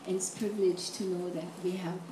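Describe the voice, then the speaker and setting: An older woman from Hawaii speaking reflectively at an audience mic, in halting, deliberate and solemn phrases. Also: on the low side, level tone. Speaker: audience member asking a question. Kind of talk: reflective personal speech at an open mic. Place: large echoey room, event audience mic. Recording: echoey, distant mic, noisy room.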